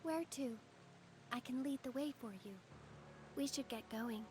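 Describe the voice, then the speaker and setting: An earnest young blade heroine. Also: female voice, high voice, unhurried, noisy background. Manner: earnest and soft-spoken, proud battle cries. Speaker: game character. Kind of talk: game character voice lines.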